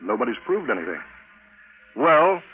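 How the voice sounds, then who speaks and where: An actor playing a matter-of-fact space project chief in a 1950s radio drama, with measured, conversational authority. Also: male voice, medium pitch, very animated, close to an everyday voice. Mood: resigned. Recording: tinny, noisy vintage radio broadcast.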